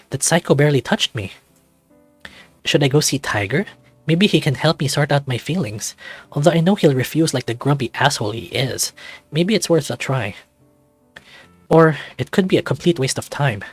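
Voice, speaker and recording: A man's voice: medium pitch, expressive, moderate pace, husky, no room sound, faint music behind. A man voicing a troubled, sarcastic young man in a visual novel, introspective and self-questioning. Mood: brooding. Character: troubled, sarcastic young man brooding over old feelings. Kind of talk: visual novel character read-aloud.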